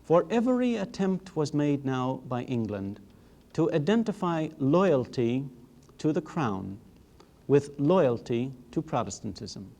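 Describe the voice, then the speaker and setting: A man giving a formal history lecture in a measured, emphatic way, leaning on rhetorical repetition. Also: mid-range voice, big pitch swings, gravelly, mellow.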